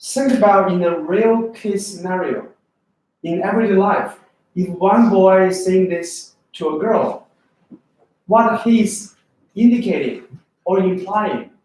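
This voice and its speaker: A man's voice: sits high, takes it slowly. Chinese-accented male tutor, echoey classroom. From China. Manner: lively, asks students questions often. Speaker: English test tutor. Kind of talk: classroom lecture. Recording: echoey room from far off.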